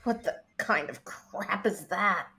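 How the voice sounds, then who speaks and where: A woman's voice: medium pitch, slow, vocal fry, pushed and bright, heavily acted. A woman reading the part of an irritable, exasperated spaceship crew member in a script table read, complaining as she goes. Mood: irritated.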